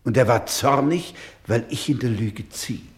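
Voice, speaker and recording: A man acting a solemn, proud storyteller in a radio play, speaking gravely and deliberately, with emphatic swells and hushed drops. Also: medium pitch, close to an everyday voice. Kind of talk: slow, dramatic radio-play narration.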